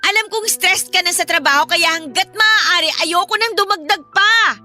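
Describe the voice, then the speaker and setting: A woman's voice: very high-pitched, normal speed, sharp tone, full character voice. A Filipino woman playing a sulky, defiant young student, a stubborn younger sister talking back in a radio-style drama. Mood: exasperated.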